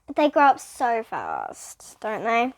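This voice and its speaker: A female reader voicing a chatty, sharp-tongued woman schoolteacher with emphatic delivery in a children's storybook. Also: on the high side, wildly swooping pitch, slow, full character voice. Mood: gushing.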